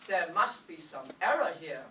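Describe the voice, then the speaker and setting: A woman's voice: fairly low pitch, big pitch swings, normal speed, bright, forceful tone. A woman playing a haughty, suspicious mother with pointed, sardonic formality, in an old-time radio comedy. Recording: echoey stage, distant mic, faint noise.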